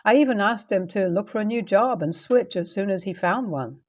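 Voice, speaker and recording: A woman's voice: mid-range voice, brisk. A woman reading a scripted first-person relationship story as a narrator.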